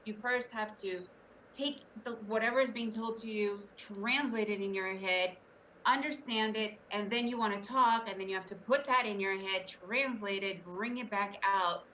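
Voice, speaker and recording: A woman's voice: medium pitch, moderate pace, pushed and bright, distant mic, a little hiss or hum, rough recording. A middle-aged woman, born in Russia and raised in the US, candidly telling her story as a guest on an interview podcast. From Soviet Union, raised in the US. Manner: earnest, candid, emphatic storytelling. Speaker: guest trader.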